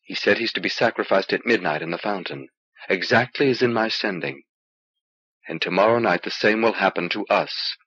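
A man's voice: moderate pace, a bit bright, husky, no room sound, dull audio. A man voicing a wary, earnest juggler pledged to his lord in an audiobook, speaking tensely, on an old cassette transfer. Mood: urgent. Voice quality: low voice.

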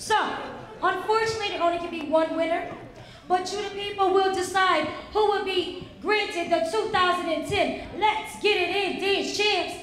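A young woman playing an excitable emcee, announcing a dance battle to an audience with emphatic showmanship. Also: very high-pitched, moderate pace, recorded from a distance. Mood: hyped, commanding. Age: young adult. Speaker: stage announcer. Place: large theater stage. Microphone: handheld microphone. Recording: echoey auditorium, PA from afar.

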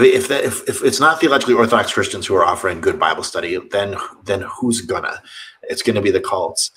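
A man's voice: fairly low pitch, a bit bright, low-quality recording. A man in his thirties, a pastor, talking earnestly over a video call from his home office, often restarting his sentences. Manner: earnest, rambling with many restarts. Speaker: pastor, guest.

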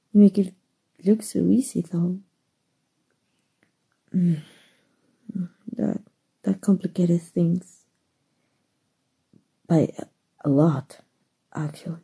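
Very husky, mellow, flustered young heroine played by a woman. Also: on the low side, heavily acted, low-quality recording. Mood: gentle, concerned. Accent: Mexican. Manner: hesitant, stammering, breathy. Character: flustered, soft-hearted young female hero, smitten with villain. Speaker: voice actor. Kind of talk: ASMR roleplay acting.

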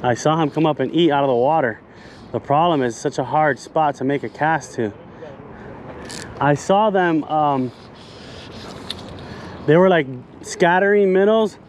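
Excited fishing vlogger outdoors by a lake. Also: male voice, a little high, measured pace, pauses often, a little hiss or hum. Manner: excitable when fish show, explaining as he goes. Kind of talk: casual vlog narration. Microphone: action camera.

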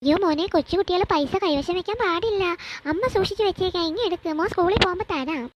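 Someone playing a high-voiced, fussing cartoon mother. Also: fast talker, muffled recording, background noise. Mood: coaxing, insistent. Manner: coaxing, nagging. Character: fussing, coaxing young mother of a small boy. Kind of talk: cartoon skit dialogue.

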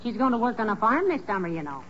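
An actress playing a patient, teasing middle-aged wife, dry and wry, in a 1940s radio comedy. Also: female voice, a little high, very animated, fast talker, fairly bright tone. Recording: noisy, dull old radio recording, studio audience.

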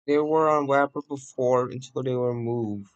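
A man presenting a software demo in a casual walkthrough, pausing haltingly between phrases. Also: mid-range voice, fairly even intonation, dull audio. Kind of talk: casual screen-recording walkthrough. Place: voice-over on a screen recording.

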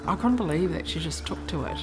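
A woman's voice: fairly low pitch, animated, quick pace. A middle-aged woman telling stories about her daughter in a documentary interview. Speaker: athlete's mother. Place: room lined with framed photos. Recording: music underneath.

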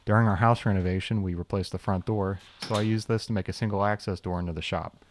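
Low-voiced man narrating with dry wit. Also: fairly even intonation, quick pace, a little hiss or hum. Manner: dry, wry humor with self-deprecating asides. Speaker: channel host, machinist. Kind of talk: scripted voice-over shop tour.

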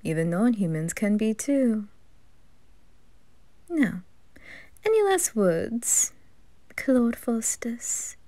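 Woman as a slow, smug, menacing demon butler. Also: on the high side, very animated, warm tone, no echo, heavily acted. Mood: taunting. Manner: drawling, deliberate, taunting. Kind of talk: slow villainous ASMR roleplay acting.

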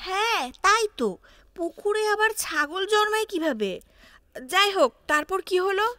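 A woman's voice: very high-pitched, animated, moderate pace, sharp tone, no echo, full character voice. A woman voicing a curious, concerned little village boy in a cartoon, eager and inquisitive. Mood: curious, indignant. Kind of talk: cartoon dialogue. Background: music.

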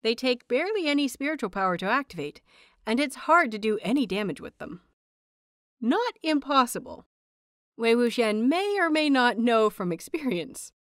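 A woman reading scripted fiction aloud as an audiobook narrator, in an expressive, measured storytelling manner. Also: on the high side, dry recording, studio quality.

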